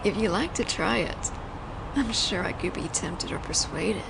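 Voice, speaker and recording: A woman's voice: fairly low pitch, unhurried, creaky. A woman playing a flirtatious, teasing mafia boss in an audio roleplay, talking in a playful, conversational storytelling way. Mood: flirty, coy. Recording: noisy outdoor city ambience, traffic.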